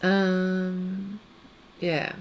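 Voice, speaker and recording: A woman's voice: fairly low pitch, monotone, very slow, a little hiss or hum. A woman hosting an art livestream, chatting casually and rambling as she works, often laughing. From Australia. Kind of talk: casual chat while demonstrating pastel art.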